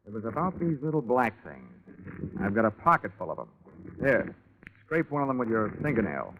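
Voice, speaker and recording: An American actor playing a wry, sardonic tough investigator in 1940s radio detective style, with deadpan quips. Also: male voice, on the low side, a little fast, phone-quality sound, a little hiss or hum. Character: wry, wisecracking tough male private investigator. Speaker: radio drama lead actor. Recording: band-limited 1940s radio recording with music.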